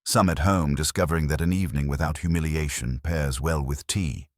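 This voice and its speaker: A man voicing a cheeky detective's assistant in an audiobook, flippant, dry and quick-witted. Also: a bass voice, steady tone, dry recording. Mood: cheerful needling.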